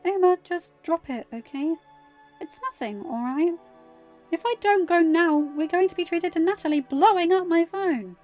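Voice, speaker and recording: Woman acting exasperated teen girl, high voice, whiny protests, dull recording, audiobook dialogue. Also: normal speed, darkish tone. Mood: pleading irritation. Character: irritable, stubborn teenage girl, defensive and exasperated. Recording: dull lo-fi home recording, music underneath.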